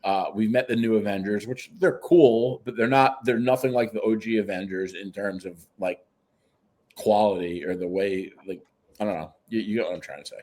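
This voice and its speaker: A man's voice: fairly low pitch, moderate pace. A young man co-hosting a podcast, chatting casually and eagerly about comics and films. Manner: excited fan talk, eager and emphatic. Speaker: podcast co-host.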